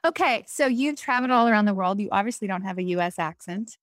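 A woman interviewing someone in a casual, conversational style, speaking informally and with animated emphasis. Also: mid-range voice, fast talker, no room sound.

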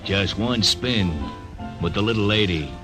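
A man's voice: lowish voice, unhurried, over music, lightly acted. An actor playing a cocky, cold-blooded male gunman who laughs and sneers, in 1940s radio acting. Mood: sneering.

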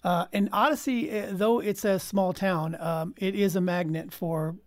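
A man with a high-pitched voice, recorded very dry, talks as a panel guest. His explanation is casual and halting, with words repeated as he thinks. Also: fairly even intonation, dry recording. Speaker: panel guest from an audio drama team.

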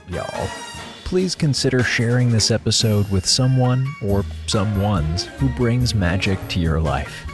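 A man, a producer reading scripted podcast credits and addressing listeners. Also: lowish voice. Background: music.

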